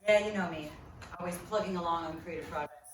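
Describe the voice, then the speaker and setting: A woman's voice: on the low side, fast talker, lightly acted. A woman acting a downbeat, dry former filmmaker, a man, in a comedic table read. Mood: awkward. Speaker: actor in a live table read. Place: live performance venue. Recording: echoey, distant, noisy live recording.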